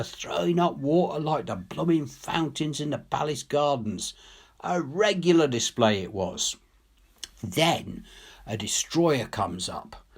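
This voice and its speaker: A man's voice: mid-range voice, husky. A man reading a story as a working-class English soldier, telling his war tale colloquially, wry and awed. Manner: colloquial, ungrammatical, animated storytelling. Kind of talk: dramatic audiobook reading.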